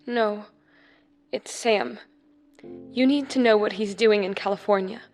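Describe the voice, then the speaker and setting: A woman's voice: mid-range voice, measured pace, darkish tone, faint music behind, close to an everyday voice. A woman playing a polite young 1950s housewife and mother in a radio drama. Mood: heartbroken, serious.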